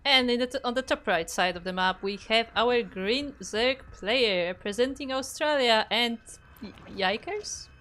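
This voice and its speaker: A woman's voice: fairly high pitch, normal speed, fairly bright tone. A woman co-commentating an esports match in a casual, conversational and playful style. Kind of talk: casual chatty game commentary.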